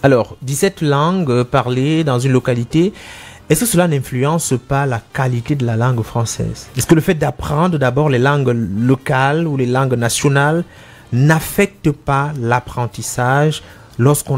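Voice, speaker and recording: A man hosting a literary interview, asking questions in a measured, formal way with occasional fillers. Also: medium pitch. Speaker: host.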